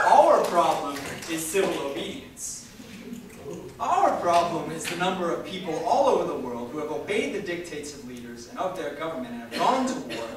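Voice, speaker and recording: Echoey, animated man giving a political speech. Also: on the high side. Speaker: speaker reading a historical speech aloud. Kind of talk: impassioned political speech reading at a public event. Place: large echoey room at a live university event. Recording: echoey hall from far off, faint noise.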